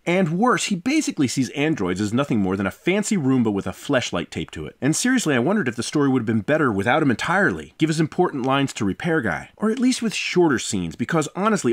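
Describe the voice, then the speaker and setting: Male host for scripted game review: very animated intonation, sarcastic, crude, theatrical emphasis. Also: medium pitch. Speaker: reviewer and host of a visual novel channel.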